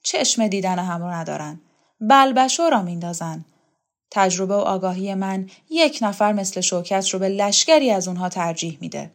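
A weary old male rebel leader in a literary audiobook, acted by a woman narrator. He speaks gravely and cynically, a bitter sceptic who has little faith in others. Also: medium pitch, lightly acted.

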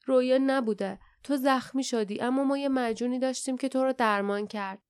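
A woman's voice: high voice, darkish tone, clear, resonant tone, dry recording, studio quality, pitched above the performer's own voice, close to an everyday voice. A woman voicing an impulsive young hero boy in a storybook, hot-headed but caring, earnest and emphatic. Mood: gentle, concerned.

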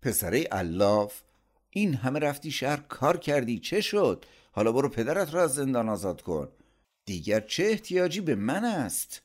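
Blustering, aggrieved uncle for a story reading, acted out by a man, middle-aged, angry and accusing, with very lively intonation. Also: medium pitch, voice pushed up. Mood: scornful, furious. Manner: aggressive, indignant, accusing. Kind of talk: dramatised audiobook storytelling.

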